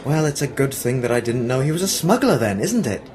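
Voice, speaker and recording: A man acts a defiant 1940s newspaperman in a radio play. His voice sits at a middle pitch, dry and sardonic, with the chatter of a crowd behind him. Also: very animated, brisk, natural voice. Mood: sarcastic. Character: sarcastic, defiant male 1940s newspaper reporter. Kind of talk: amateur radio play acting.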